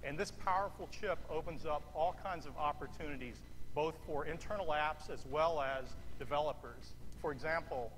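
A middle-aged man giving a keynote product presentation from a large auditorium stage, speaking in a confident, measured way with the odd filler. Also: fairly high pitch, fairly bright tone. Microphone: headset. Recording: long hall reverb, room noise.

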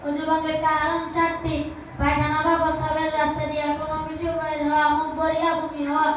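A high-voiced performer playing a polite, knowledgeable madrasa pupil in an amateur educational skit, reciting deliberately from memory. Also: monotone, fairly bright tone. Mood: instructive. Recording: distant, very echoey, faint noise.

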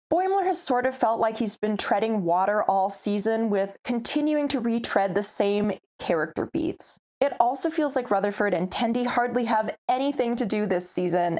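A woman's voice: on the high side, a little mellow. A young woman giving a scripted, opinionated review from home, talking wryly to the camera. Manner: opinionated, wry, conversational. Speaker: video reviewer. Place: home room with a starry backdrop.

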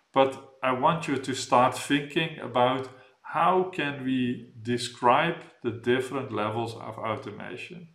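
A man's voice: medium pitch, a bit bright. A man in his fifties giving an academic keynote lecture, explaining things in a hesitant way with repeated words. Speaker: keynote speaker, professor. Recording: echoey room sound.

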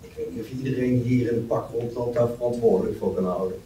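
A man's voice: medium pitch, fast, warm tone, lightly acted. A man playing a reserved, sensible young banker in a matter-of-fact manner at a script table read. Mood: defensive. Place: meeting room. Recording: roomy, distant mic, faint noise.

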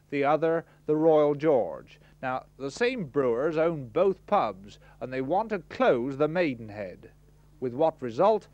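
A man reporting for an old 1960s newsreel, his voice sitting a touch high. He narrates and then asks brisk, probing questions. The film soundtrack has an aged sound. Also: darkish tone, dull audio. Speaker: news reporter.

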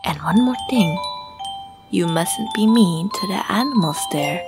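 An actor voicing a caring empress mother, gently admonishing in a children's cartoon story. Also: mid-range voice, expressive, measured pace, music in the background, natural voice. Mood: gently warning.

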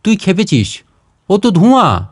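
A man's voice: high-pitched, huge pitch swings, normal speed, dry recording, clean studio sound, voice pushed up, full character voice. A man voicing a hungry, impatient fourteen-year-old village boy in a storybook, blunt and complaining, with speech. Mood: annoyed, dismissive.

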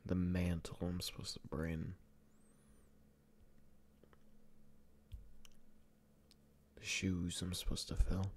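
A man playing an anxious, protective werewolf boyfriend in an intimate, hushed ASMR roleplay, speaking haltingly and often slipping into a whisper. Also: low voice, flat delivery, a little hiss or hum, close to an everyday voice. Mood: subdued, self-doubting.

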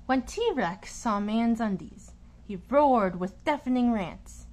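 A woman reading a children's picture book aloud in an expressive, deliberate storytelling manner, stressing key words. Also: fairly high pitch.

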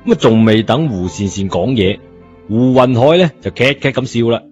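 A man's voice: medium pitch, expressive, normal speed, darkish tone. A man narrating a story in a colloquial scripted style, in a dull recording. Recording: dull lo-fi audio, music behind.

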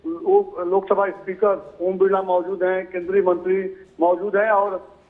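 Male field reporter, live news report, phone-like audio, slightly high voice, flat delivery, filler-heavy. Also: measured pace. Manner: filler-heavy, repeats "jo hai" and "dekhiye". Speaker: bureau chief reporter. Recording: tinny phone-line audio.

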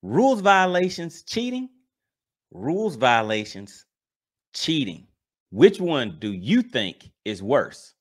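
A man's voice: mid-range voice, very animated, slow pace. An American man in his forties giving theatrical reaction commentary as host, full of rhetorical questions, close on a microphone. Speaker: host of a fishing reaction vlog. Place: small home studio. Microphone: boom-arm microphone up close.

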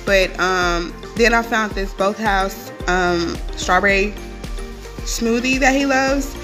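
A young woman talks through her grocery haul in a home kitchen, chatty and upbeat, with plenty of "um" and "you guys". Her voice sits in a middle pitch, and she is picked up by a phone camera held close. Music plays clearly behind her. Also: slow. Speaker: vlogger showing a grocery haul. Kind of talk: casual chatty haul vlog.

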